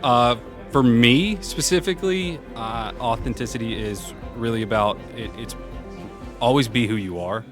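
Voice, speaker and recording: A man with a loud, carrying voice answering interview questions in an informal, upbeat, self-effacing way as a senior program manager. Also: medium pitch, normal speed. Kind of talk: casual conversational interview answers. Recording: music playing behind the voice.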